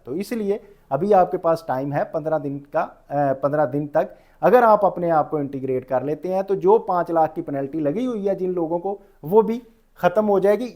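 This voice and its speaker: A man's voice: on the high side, a little mellow. A man with a Pakistani accent lecturing on rules as an instructor, explaining didactically and restating each point. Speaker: tax lecturer.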